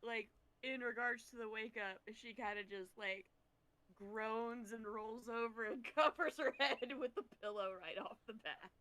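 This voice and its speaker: Woman in a tabletop game chat, smooth clear voice, real slow, hunting for words. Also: fairly high pitch, pushed and bright, no echo, rough recording. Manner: hesitant, searching for words. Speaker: player in a tabletop roleplaying game. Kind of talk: casual improvised character description.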